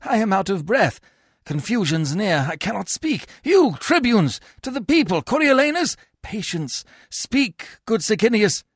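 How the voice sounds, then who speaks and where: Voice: mid-range voice, sing-song, unhurried, fairly bright tone, no echo, studio quality, voice pushed up. A reader voicing an agitated Roman patrician peacemaker who implores, in a theatrical Shakespeare read-aloud. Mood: flustered, pleading.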